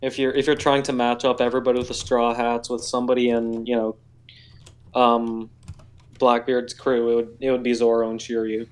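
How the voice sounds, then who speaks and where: A man's voice: medium pitch, flat delivery, moderate pace, clean tone. A man in a casual fan debate on voice chat, reasoning things through informally. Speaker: voice chat participant.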